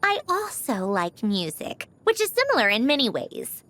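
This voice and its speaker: An actress voicing a composed, refined young woman in a game, gently haughty and reflective, with formal, measured, eloquent diction. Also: fairly high pitch, huge pitch swings. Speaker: voiced game character. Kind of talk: scripted character voice lines.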